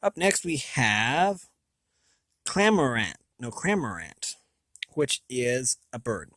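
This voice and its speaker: An American man hosting a casual, unscripted review, thinking aloud in a halting, rambling way with a slightly raspy voice. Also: medium pitch, very animated, bright, forceful tone, no room sound. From United States. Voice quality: slightly raspy from long talking. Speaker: video host.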